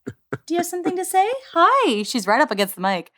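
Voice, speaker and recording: A woman player jokes with her group in a playful, giggly way. Her voice is high and bright, and her pitch moves around a lot. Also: sing-song, fairly quick. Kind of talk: casual chat between players.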